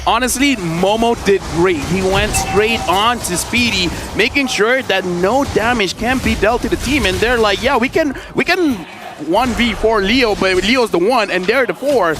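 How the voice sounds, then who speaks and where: A man's voice: sits high, moderate pace, music in the background. A man giving conversational analysis as an esports commentator during a live game, acting out what players might think. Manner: conversational, voices players' imagined thoughts.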